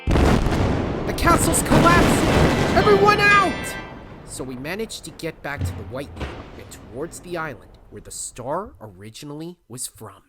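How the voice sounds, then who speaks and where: A performer playing a plucky, cheeky young male animal hero in an audio drama, excitable and given to storytelling. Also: mid-range voice, a little slow, over loud music. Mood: excited shouting. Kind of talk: audio drama acting and narration.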